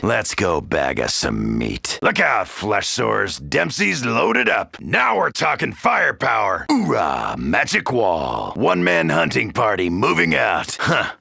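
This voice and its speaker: An American man playing a gruff, cocky, wisecracking macho soldier in a zombie video game, with a gravelly voice. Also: fairly low pitch, pushed and bright, dry recording, studio quality, heavily acted. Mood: gruff, casual. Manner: brash, swaggering, wisecracking. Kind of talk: video game character voice lines.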